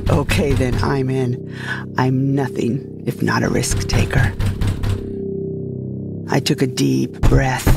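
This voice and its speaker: A woman's voice: very deep voice, vocal fry, full character voice. A woman playing a wry, curious retired space heroine who narrates a comic sci-fi story with dramatic flair.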